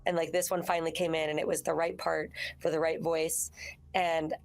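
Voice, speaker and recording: Raspy-voiced woman chatting loosely. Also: low-pitched, flat delivery, brisk. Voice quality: naturally raspy voice. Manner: rambling, restarts sentences, acts out her own thoughts. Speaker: voice actress guest. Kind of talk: casual interview chat.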